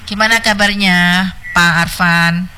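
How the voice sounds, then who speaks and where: A woman's voice: on the low side, fairly even intonation, takes it slowly, background noise. A middle-aged woman, hosting a casual, chatty radio call-in show. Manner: chatty, laughs often. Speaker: radio host.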